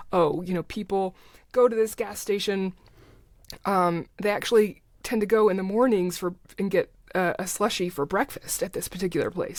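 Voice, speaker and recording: Female podcast guest, lowish register, dry sound, informal explainer with quoted asides. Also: no room sound. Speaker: podcast guest, writer and advertising creative. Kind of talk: casual conversational interview, explaining.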